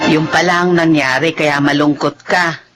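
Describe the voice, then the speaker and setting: An actress playing a gentle, hopeful middle-aged mother in a Filipino audio drama, consoling, with a Filipino accent. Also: low-pitched, fairly even intonation, normal speed, soft background music.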